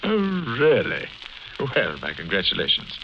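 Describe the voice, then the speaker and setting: An actor playing a sardonic, condescending uncle with suave, theatrical formality in a 1940s radio drama, on a muffled old recording. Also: male voice, mid-range voice, huge pitch swings, measured pace, a little hiss or hum. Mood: smugly mocking.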